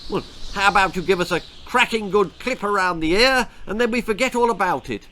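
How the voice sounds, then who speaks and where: A man's voice: sits high, brisk, sharp tone, slight background noise, voice pushed up. A man voicing plucky, posh English schoolboys with earnest, old-fashioned politeness, in a children's storybook reading.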